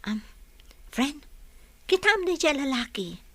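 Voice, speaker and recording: A woman playing a frank, expressive young single woman in an audio drama. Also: sits high, slow pace, heavily acted. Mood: friendly. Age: young adult. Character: young single woman, hospital worker, still heartbroken, frank. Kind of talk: Ilocano audio drama acting.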